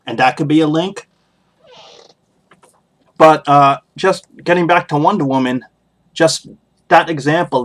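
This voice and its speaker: A man hosting a solo podcast, giving casual opinion commentary in an emphatic, critical way and repeating his points for emphasis. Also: a little high. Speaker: podcast host.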